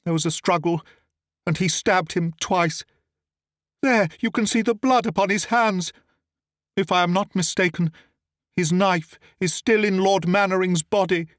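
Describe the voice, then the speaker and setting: A man doing a high, theatrical scheming lady. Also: measured pace, no room sound, clean studio sound. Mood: distressed. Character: scheming noblewoman, feigning grief.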